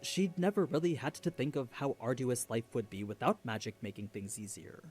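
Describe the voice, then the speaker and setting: A man's voice: medium pitch, animated, brisk, darkish tone, faint music behind. A man reading scripted explainer narration for a video.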